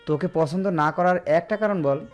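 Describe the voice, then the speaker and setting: A man's voice: a little high, normal speed, natural voice. A man playing an encouraging, insistent young college friend in an audio story. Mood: urging. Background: background music with guitar.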